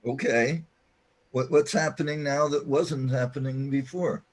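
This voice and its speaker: A man acting a weary, matter-of-fact companion in a sci-fi audio drama, speaking in a deliberate, explanatory way. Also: mid-range voice, fairly even intonation, a little mellow, natural voice. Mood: patient, explaining.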